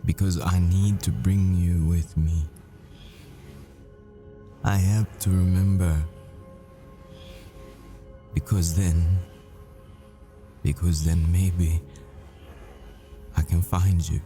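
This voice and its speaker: A man playing a tender, desperate boyfriend, a secretive professor, in an intimate, halting, wistful audio roleplay monologue. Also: low voice, flat delivery, mellow. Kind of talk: intimate ASMR-style dramatic roleplay monologue. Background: music.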